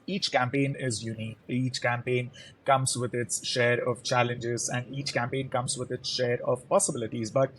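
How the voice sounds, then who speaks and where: A man's voice: mid-range voice, poor audio. Earnest man, guest storyteller. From India. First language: Marathi. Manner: earnest, expansive storytelling with emphatic repetition. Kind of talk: conversational interview.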